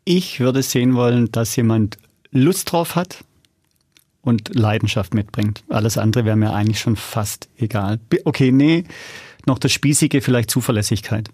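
A man's voice: mid-range voice, normal speed, darkish tone, no echo, studio quality. A middle-aged man talking casually and anecdotally as an interview guest, often checking in with little tag questions. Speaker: interview guest, audio drama producer.

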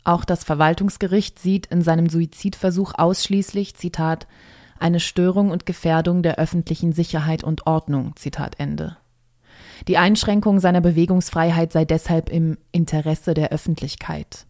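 A woman's voice: lowish voice, a little mellow, no echo, studio quality. A woman reading a scripted news report aloud as a presenter.

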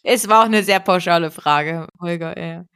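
A woman's voice: normal speed, smooth. A woman hosting a podcast interview, summing up and giving effusive thanks. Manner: warm effusive thanks, rises high in farewells. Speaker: podcast host.

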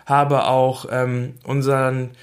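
A man's voice: mid-range voice, monotone, slow pace. A young man from Berlin talking casually about himself, with lots of hesitant fillers. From Berlin, Germany. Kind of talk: casual self-introduction.

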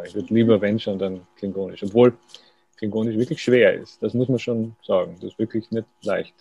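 Guy in a radio interview, low gravelly voice, thinks out loud, pokes fun at himself. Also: low-quality recording. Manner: musing, thoughtful, self-deprecating. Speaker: writer as interview guest.

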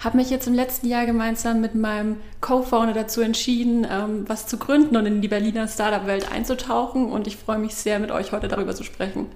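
A woman in her thirties from Swabia, a startup co-founder explaining her work at length in a measured way during an interview. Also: fairly high pitch, little pitch movement, a little mellow, reverberant. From Swabia, Germany. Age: 30s. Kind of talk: interview answers.

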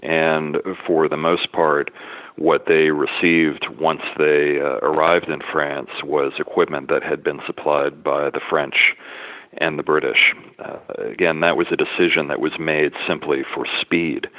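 A man's voice: very deep voice, level tone, measured pace, dry recording. A man from the United States, a historian, giving thoughtful, explanatory answers as an interview guest. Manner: thoughtful, explanatory, with some restarts. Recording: dry, phone-like line.

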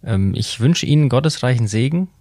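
A man's voice: mid-range voice, lively intonation, brisk, warm tone, no room sound. A man hosting a podcast interview, putting prepared questions politely and formally.

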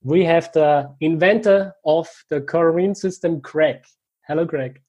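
A man's voice: on the high side, unhurried, a little mellow, breathy edge, dull audio. A man hosting a podcast in English with a German accent, friendly and a bit hesitant, laughing easily. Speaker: podcast host. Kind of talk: casual interview hosting.

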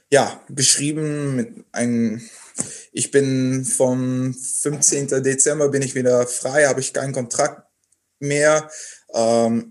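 A man's voice: mid-range voice, darkish tone. A young man, a sportsman guest in a podcast interview, speaking hesitantly and searching for words. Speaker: athlete guest.

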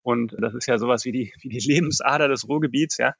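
A man from the Ruhr area, an engineer answering interview questions in a relaxed way with lots of fillers. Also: mid-range voice, fast talker, gravelly, slightly rough, no room sound. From Ruhr area, Germany. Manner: relaxed, many filler phrases. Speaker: test lab engineer, guest.

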